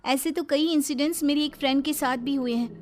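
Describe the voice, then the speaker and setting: High, dramatic young engineer woman, acted by a woman. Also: brisk, slight background noise, lightly acted. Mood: casual, grumbling. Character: young female civil engineer, anxious and haunted. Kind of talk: dramatic horror cartoon acting. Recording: loud music under the voice.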